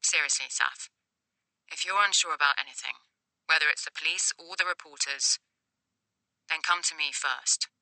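Woman voicing a low, intent, protective dad. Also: moderate pace, pauses often, no room sound, exaggerated voice, rough recording. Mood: earnest, reassuring. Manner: deadpan, advising. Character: protective middle-aged newspaperman father, dry and reassuring. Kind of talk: audiobook character dialogue.

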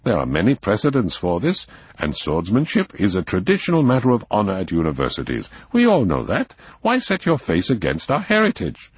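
A man's voice: fairly low pitch, a little fast, breathy edge, no echo, pitched above the performer's own voice, heavily acted. A man voicing a tipsy, enthusiastic young German student who speaks eagerly and expansively. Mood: persuasive. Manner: eager, raised and animated.

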